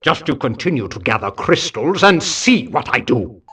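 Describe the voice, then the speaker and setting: A man's voice: mid-range voice, very animated, moderate pace, fairly bright tone, husky, far from the mic, full character voice. A man voicing a haughty mad-scientist villain in a video game, theatrical, pompous and condescending. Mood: haughty, menacing. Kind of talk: video game cutscene voice acting.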